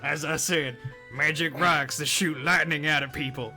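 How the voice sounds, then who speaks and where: A man's voice: on the high side, steady tone, moderate pace, bright, forceful tone, faint music behind. A man voicing a blunt, greedy video game shopkeeper who lectures pompously and insults his customers with crude asides. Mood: lecturing. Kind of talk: amateur fandub game dialogue.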